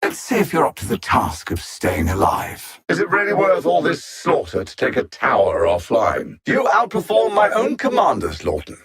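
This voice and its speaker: A man playing a cold, superior alien machine conqueror in a video game, articulate and haughty, savoring his menacing taunts in a gravelly, husky voice. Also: fairly high pitch, huge pitch swings, moderate pace, few pauses, fairly bright tone. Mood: smug menace. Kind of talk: theatrical video game villain acting.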